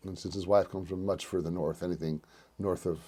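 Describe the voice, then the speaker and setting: An older man, co-hosting a casual podcast chat, animated and talking over others. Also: sits low, fairly quick, slightly rough. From New York. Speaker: podcast co-host.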